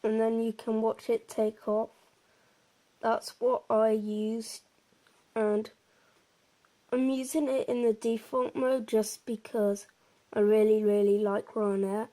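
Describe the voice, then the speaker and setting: A woman's voice: on the high side, fairly even intonation, slow, pauses often, dark tone. A woman giving a casual gameplay commentary, explaining things in an informal, meandering and slightly hesitant way. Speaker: presenter of a game walkthrough.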